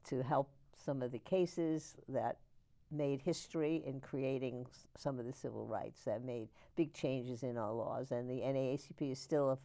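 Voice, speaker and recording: A woman's voice: rumbling low, steady tone, normal speed, warm tone. A woman hosting a talk show, interviewing a guest and steering her with questions. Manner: leading with questions.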